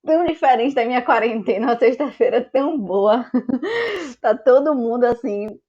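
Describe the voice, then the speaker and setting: A woman's voice: fairly high pitch, lively intonation, moderate pace, a little mellow, slightly rough. A woman hosting an online seminar, effusive and thankful, with plenty of fillers. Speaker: seminar host. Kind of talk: closing remarks in an online seminar. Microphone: video call. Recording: lo-fi video call audio.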